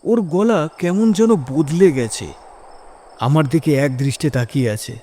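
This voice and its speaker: A man's voice: fairly high pitch, unhurried, dark tone, slight background noise. A man narrating a scripted first-person horror story in a dramatic, suspenseful manner.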